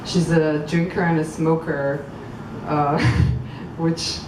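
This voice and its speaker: Soft, low-voiced woman reading poems outdoors. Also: fairly even intonation. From Croatia. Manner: halting, thoughtful spoken intros, measured reading. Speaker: poet reading at a public event. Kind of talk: public poetry reading. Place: outdoor public venue. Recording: echoey, far from mic, street noise.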